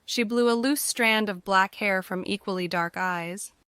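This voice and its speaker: A woman's voice: mid-range voice, a little slow, a bit bright, clean tone, no room sound, clean studio sound. A woman reading scripted fiction narration for an audiobook.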